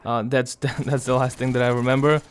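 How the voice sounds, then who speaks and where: A young man speaking quietly and weakly in casual gameplay commentary, his voice raspy and strained after throat surgery, pausing often. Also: medium pitch, level tone, a little hiss or hum. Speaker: gaming commentator.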